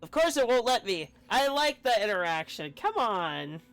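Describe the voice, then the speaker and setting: A man's voice: high voice, big pitch swings, normal speed, gravelly, a bit bright. A man giving casual live commentary while streaming a video game.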